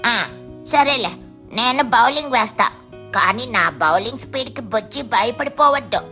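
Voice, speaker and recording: A woman's voice: on the high side, lively intonation, unhurried, pushed and bright, faint music behind, full character voice. A woman voicing a whiny, boastful young boy parrot who loves food, in a cartoon story.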